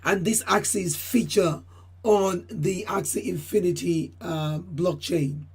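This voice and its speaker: A woman hosting a channel and giving a formal market update, her voice deeper than usual as she gets over a cold, repeating key phrases and addressing viewers as ladies and gentlemen. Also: slow pace, husky. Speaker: channel host giving crypto price updates. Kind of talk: solo market update talk.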